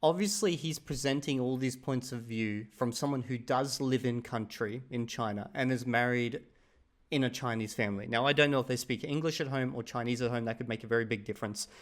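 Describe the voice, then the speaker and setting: An Australian man hosting his channel, giving relaxed, opinionated commentary on another creator's video. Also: mid-range voice, fairly quick. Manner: relaxed, opinionated, chatty asides. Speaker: channel host reviewing a video. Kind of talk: casual commentary.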